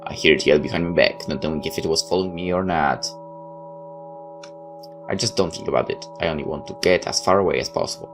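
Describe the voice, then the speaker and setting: A man's voice: very deep voice, fast, music in the background. A man reading a visual novel aloud like a dramatic storyteller, tossing in his own asides. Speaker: let's player. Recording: clear background noise.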